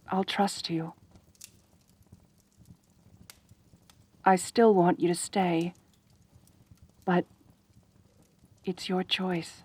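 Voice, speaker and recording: A woman's voice: lowish voice, a little mellow, breathy edge. A woman voicing a lonely, possessive but caring centaur girl in a roleplay audio drama, speaking in a hesitant, stammering, earnest way. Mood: soft, tentative. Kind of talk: roleplay ASMR audio drama, intimate one-sided dialogue.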